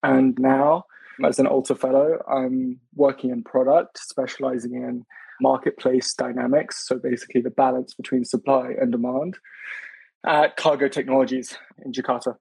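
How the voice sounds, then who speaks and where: A young Englishman introducing himself as a guest, hesitant, with fillers. His voice is gravelly and husky, mellow in tone and flat in pitch. It is recorded close and dry. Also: normal speed, dry recording, low-quality recording. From England. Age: young adult. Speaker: guest, fellow. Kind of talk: self-introduction in an interview.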